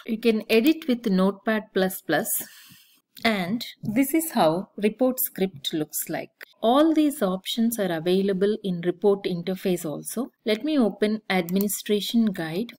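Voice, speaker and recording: A woman giving a screen-recorded software tutorial, explaining steps one by one with the odd filler. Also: mid-range voice. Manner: steady step-by-step instruction with occasional fillers. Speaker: software trainer.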